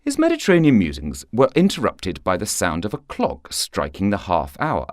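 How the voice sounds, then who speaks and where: A man narrating a short story for radio in a theatrical, expressive manner. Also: on the low side, normal speed, no room sound, clean studio sound. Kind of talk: scripted literary narration for radio.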